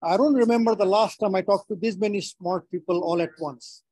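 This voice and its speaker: A middle-aged man from Nepal with a Nepali accent gives a prepared webinar talk in a measured, phrase-by-phrase delivery. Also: high voice, warm tone, no room sound. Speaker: webinar speaker.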